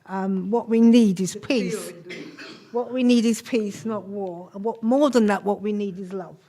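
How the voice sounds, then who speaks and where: A woman from Nigeria introduces herself at a seminar, shy and hesitant, with many pauses and ums. She sounds tired after a night shift, and her voice sits at an average pitch. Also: warm tone. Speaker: nurse and community association secretary. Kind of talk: speaking in a seminar introduction round.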